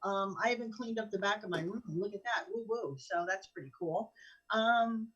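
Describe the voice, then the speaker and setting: A woman's voice: medium pitch, moderate pace, a bit bright, husky, dull audio. A woman co-hosting a live show in a casual, chatty style. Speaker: co-host.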